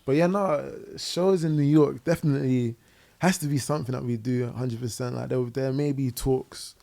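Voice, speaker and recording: A man's voice: mid-range voice, fairly even intonation, moderate pace, vocal fry, dark tone. A young man with a London accent, a guest musician chatting casually in a podcast interview, full of fillers and "innit". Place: living room podcast set. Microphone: boom mic on arm.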